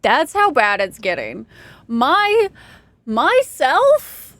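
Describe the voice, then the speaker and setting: A woman's voice: sits high, wildly swooping pitch, extremely slow, fairly bright tone. Chatty, self-mocking young woman streamer. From Texas. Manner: chatty, self-deprecating, laughs often. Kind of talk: casual chat with stream chat while gaming.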